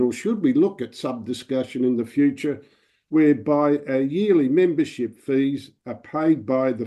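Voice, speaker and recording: A man's voice: medium pitch, dark tone. An older man asking an earnest question on a video call, his voice echoing back over the line. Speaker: club member asking a question. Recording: dull line with an echo of the call.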